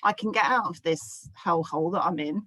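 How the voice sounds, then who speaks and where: A London woman in her fifties speaks in a fairly low voice, stopping often on fillers as she recounts her own experience. Her sound is dry and close, with no room around it. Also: a little fast, pushed and bright, slightly rough, dry recording. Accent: London English. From South London, England. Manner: hesitant, many ums and fillers. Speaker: audience member asking a question. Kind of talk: casual personal remarks in a live discussion.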